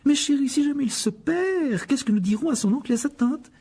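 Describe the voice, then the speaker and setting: A man's voice: animated, fairly quick, dark tone. Man doing a fussing motherly witch. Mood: worried. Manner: high, anxious, doting. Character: fussing motherly witch, middle-aged woman.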